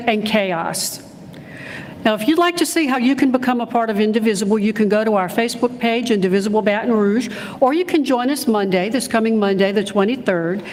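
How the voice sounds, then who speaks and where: A woman giving a prepared speech as an activist group speaker at a public event. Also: on the high side. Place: large church hall, live event. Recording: noisy, reverberant hall PA recording.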